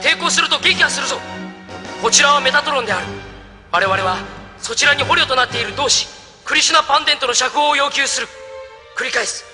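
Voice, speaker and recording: A man's voice: extremely high pitch, fairly quick, pauses often, bright, forceful tone, soft background music, heavily acted. A man playing a brave, impulsive young mecha pilot in a Japanese audio drama. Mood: commanding. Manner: impulsive, defiant.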